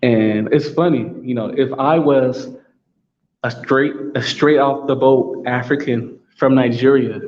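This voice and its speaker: A man's voice: medium pitch, unhurried, darkish tone, dull audio. An American man hosting his own talk show, speaking in a solo monologue and driving his points home by saying them again and again. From United States. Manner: repetitive, emphatic, restating points.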